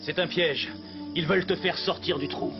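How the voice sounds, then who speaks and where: A cautious, exasperated cartoon comrade played by a man speaks in a high voice. The sound is dull, with music behind. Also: fairly even intonation, moderate pace, natural voice. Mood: worried, resigned. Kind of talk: French cartoon voice acting.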